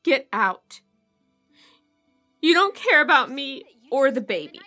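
A wounded, jealous wife in a horror audio drama. Her voice is very high and piercingly bright, full of raw emotion. She accuses and pleads over background music. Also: big pitch swings, slow, full character voice. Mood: furious. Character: Julie, distraught jealous wife or girlfriend, tearful and accusing.

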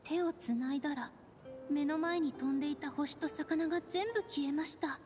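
Woman as a high, gentle young lady strategist. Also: moderate pace, soft background music, lightly acted. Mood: bemused. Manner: soft-spoken, formal and polite. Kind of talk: Japanese anime game voice acting.

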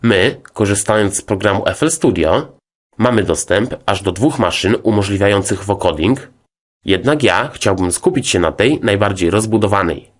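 Man, fairly deep voice, tutorial narration, formal, methodical, explanatory. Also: lively intonation, moderate pace. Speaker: music software instructor.

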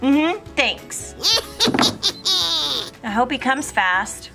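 American woman, thirties, exaggerated complaining delivery for a kids' skit, high voice, unhurried. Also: very animated, creaky. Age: 30s. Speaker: parent acting in a family kids' show. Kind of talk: playful acted skit for children. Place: outdoors by a house. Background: prominent music.

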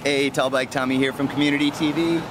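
A man's voice: mid-range voice, fairly even intonation, fast. A man in his thirties hosting a casual community video, chatting in a rambling way with many ums and uhs. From Canada. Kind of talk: casual vlog chat. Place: inside a car at night, then outdoors on a city street. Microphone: camera mic, from a passenger seat and then from a handheld camera. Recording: noisy outdoor street sound, often distant from the mic.

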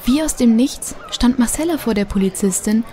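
A woman's voice: a little high, animated, normal speed, warm tone, lightly acted. A woman narrating a children's mystery audio drama in a scripted, suspenseful storytelling manner. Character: female storyteller of a children's mystery radio play.

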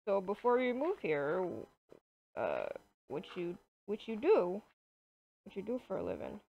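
A woman's voice: medium pitch, wildly swooping pitch, a little slow, gravelly, exaggerated voice. A woman voicing an easygoing, casual male boss in an improvised video game roleplay. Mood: casual, friendly. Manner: laid-back, casual. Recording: dull lo-fi home mic.

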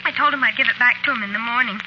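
Old-time radio ingénue: female actor as naive, pleading American waitress, high plaintive voice, dull hissy old recording. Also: racing, natural voice. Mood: tearful, pleading. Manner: plaintive, hesitant, tearful. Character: distraught, naive young waitress, timid and pleading. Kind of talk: 1940s radio drama acting. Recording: muffled, noisy 1940s radio recording.

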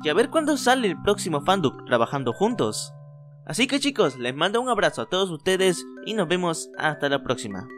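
A man's voice: sits high, fairly quick, faint music behind. A man, casually talking to his viewers as a fan dubber. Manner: relaxed, friendly address to viewers. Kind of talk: casual video outro.

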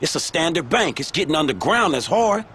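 A man's voice: a little high, big pitch swings, fairly quick, a bit bright, slight background noise. A man playing a young streetwise American crew member in a video game, blunt, slangy and skeptical. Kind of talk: video game cutscene acting.

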